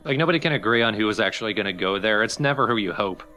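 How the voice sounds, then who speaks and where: A man's voice: lowish voice, lively intonation, very fast, sharp tone. A man co-commentating on a video game with casual, joking remarks. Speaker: co-commentator of a let's play.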